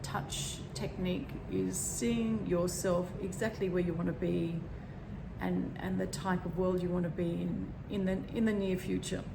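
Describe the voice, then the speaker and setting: A woman with a slightly croaky voice, gently talking through a meditation and often pausing to find her words. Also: on the low side, fairly even intonation. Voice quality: croaky, frog in throat. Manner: gentle, rambling, searching for words. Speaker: meditation teacher. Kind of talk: guided meditation talk. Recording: echoey room with background noise.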